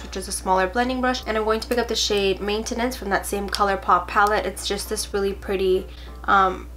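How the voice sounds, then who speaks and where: A young woman with a stuffy cold talks casually through a makeup tutorial in her bedroom, chatty and full of fillers, softer when focused on applying makeup. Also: medium pitch. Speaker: beauty vlogger. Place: bedroom at home.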